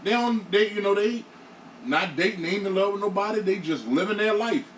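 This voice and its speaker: Animated man in his thirties, echoey room. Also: high-pitched, fairly quick. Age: 30s. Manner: animated, repeats himself, acts out his own thoughts. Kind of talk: casual panel discussion. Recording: echoey room, far from mic, faint hiss.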